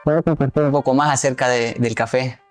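A man's voice: medium pitch, steady tone, brisk, faint music behind. A young man with a Salvadoran Spanish accent, a café barista answering politely and briefly in a small café.

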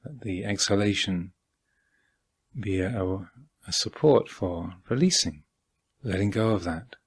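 A man with a husky voice guiding a meditation as a teacher, speaking calmly and deliberately with long pauses. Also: low voice. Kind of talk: guided meditation instruction. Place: meditation hall at a retreat.

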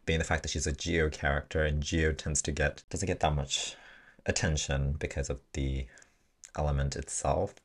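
Very deep-voiced young man reacting casually. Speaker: gaming reaction video host. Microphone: desk microphone close to the speaker.

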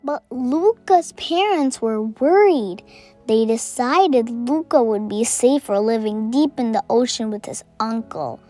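A woman's voice: a little high, lively intonation, slow, soft background music. A young girl reading a picture book aloud and chatting about the pictures to her viewers. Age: child. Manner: reads text loudly, comments softly to viewers. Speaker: storybook reader.

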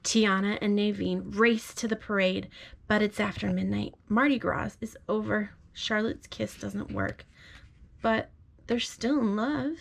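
A woman speaking gently, as a parent does to a small child at bedtime. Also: mid-range voice, steady tone, unhurried, gravelly. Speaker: parent reading aloud. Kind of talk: bedtime storybook read-aloud. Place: bedroom at home.

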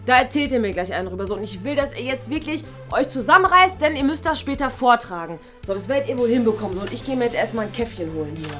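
Eine Frau spielt eine genervte, abfällige Vertretungslehrerin in einem Schulsketch und redet fahrig in abgebrochenen Sätzen. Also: female voice, on the high side, animated, fast, few pauses, fairly bright tone, faint music behind. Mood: dismissive, brisk. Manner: rambling, breaks off sentences, exasperated. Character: irritable, dismissive female substitute school teacher, easily exasperated. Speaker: substitute teacher in a sketch series. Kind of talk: improvised school sketch acting.

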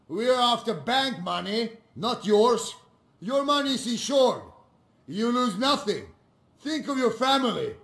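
A man's voice: very high voice, a bit bright, full character voice. An actor playing a stern, terse foreign male bank robber with clipped phrasing in a video game. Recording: echoing room sound.

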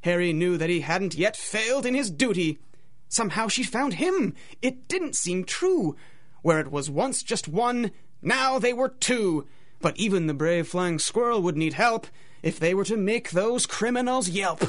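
A woman's voice: mid-range voice, lively intonation, unhurried. A woman narrating a scripted rhymed adventure story, with a dramatic, singsong rhythm.

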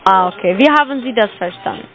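A woman's voice: mid-range voice, animated, fast, a bit bright, soft background music, poor audio. A woman making a scam sales call on the phone, pushing with repeated questions in broken grammar. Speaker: scam caller.

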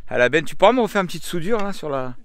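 A man's voice: on the high side, sing-song, rapid-fire. A man in his fifties, teasing and playful, chatting casually outdoors in a rural yard. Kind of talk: joking casual chat.

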